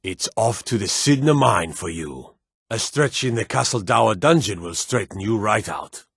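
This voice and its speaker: A stern city guard from a fantasy video game, with a low, slightly husky voice. He is gruff and authoritative, handing out clipped threats like a weary lawman who could be bought. The recording is dry and close. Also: lively intonation, normal speed, fairly bright tone, no room sound, exaggerated voice. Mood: contemptuous. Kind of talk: scripted fantasy game voice lines.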